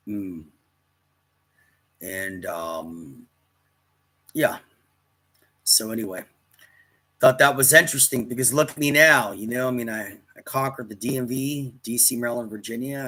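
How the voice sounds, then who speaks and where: A man in his fifties reminiscing casually in a rambling, halting monologue, often repeating words as he goes. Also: fairly low pitch, fairly bright tone. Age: 50s. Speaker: storyteller recounting military service.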